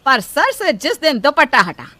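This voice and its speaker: A woman's voice: high voice, sing-song, moderate pace, dry recording, exaggerated voice. A woman playing a strict, conservative older mother-in-law in a cartoon story, disapproving and scolding. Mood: stern. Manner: sharp, scolding. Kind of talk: animated cartoon story acting.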